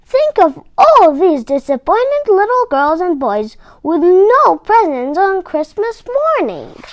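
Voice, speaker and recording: A woman voicing a soft-hearted, caring old wife in a children's storybook, plaintive and dismayed. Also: extremely high pitch, very animated, slow pace, voice pushed up, heavily acted. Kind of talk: children's storybook read-aloud.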